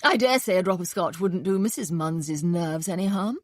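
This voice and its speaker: A woman's voice: on the low side, moderate pace, dry recording, studio quality, lightly acted. A woman reading an audiobook, voicing a blustering, working-class male publican. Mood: wheedling.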